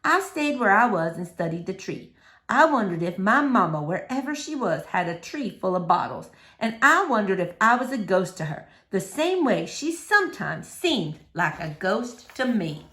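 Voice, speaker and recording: A woman's voice: medium pitch, sing-song, moderate pace, a bit bright. A woman reading a children's novel aloud as a storyteller addressing young listeners. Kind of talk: expressive children's novel narration.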